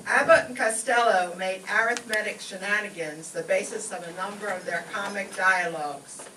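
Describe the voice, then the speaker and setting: A woman's voice: mid-range voice, normal speed, noisy background. A woman master of ceremonies reading scripted introductions at a live stage show. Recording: echoey, distant mic, music behind.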